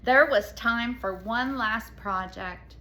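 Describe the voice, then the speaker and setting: A woman's voice: fairly high pitch, takes it slowly, bright, forceful tone. A woman reading a storybook aloud to children, expressively, with explanatory asides and questions to the listeners.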